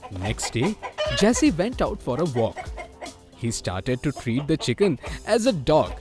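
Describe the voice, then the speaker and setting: A man narrating a scripted children's story. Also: mid-range voice.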